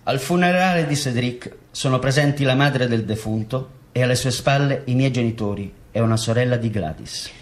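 A man's voice: medium pitch, normal speed, few pauses. A man narrating scripted documentary theatre as an actor, in a formal, declamatory manner.

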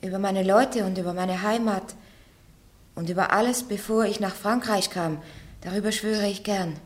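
A stubborn young peasant girl played by a woman with a medium-pitched voice stands her ground in a radio drama. She talks simply and frankly, with defiance underneath. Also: steady tone, normal speed, natural voice. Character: defiant, plain-spoken peasant girl of nineteen on trial. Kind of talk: German radio play acting.

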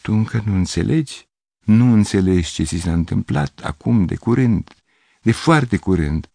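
A gentle, insistent young woman from a storybook, read by a man. She speaks softly and slowly, coaxing rather than pressing. The sound is dark and husky, close and dry. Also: low-pitched, expressive, no echo, heavily acted. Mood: calm coaxing.

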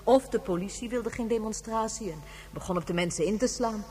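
An actress playing a very old, gentle woman who reflects and reminisces in a measured way, in a science fiction radio drama. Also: mid-range voice, lightly acted. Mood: reminiscing. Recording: hollow long reverb, humming background noise.

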